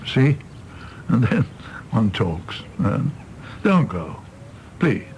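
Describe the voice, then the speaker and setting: Tipsy british doctor, middle-aged, radio drama, slow halting rambling, bit high for a man, noisy rumbly recording. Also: big pitch swings, darkish tone, exaggerated voice. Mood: pleading, apologetic. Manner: rambling, halting, swinging from ranting to meek.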